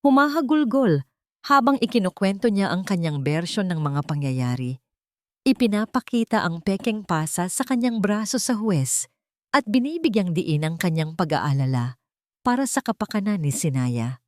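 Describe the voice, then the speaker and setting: A woman's voice: mid-range voice, sing-song, moderate pace, no echo, studio quality. A woman with a Filipino accent reading a scripted dramatic story as its narrator.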